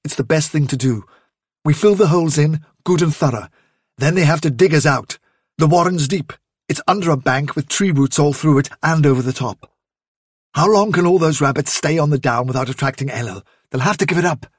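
A firm, commanding rabbit leader from a storybook , acted by a man, British and raised in pitch. He speaks briskly and stubbornly, with a husky edge and a close, dry sound. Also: no echo. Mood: firm, defiant.

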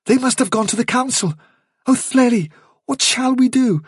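Man voicing a high, frightened female rabbit. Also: normal speed, breathy edge, no echo, clean studio sound, exaggerated voice. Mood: panicked urgency. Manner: anxious, pleading. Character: frightened female rabbit, breathlessly urgent messenger.

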